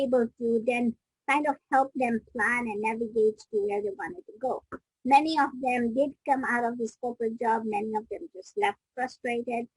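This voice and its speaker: Middle-aged woman from Kenya, interview guest, husky, fairly high voice, reflective storytelling, dry close sound. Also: no echo, low-quality recording. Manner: reflective, restarts sentences mid-thought. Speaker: guest, business coach.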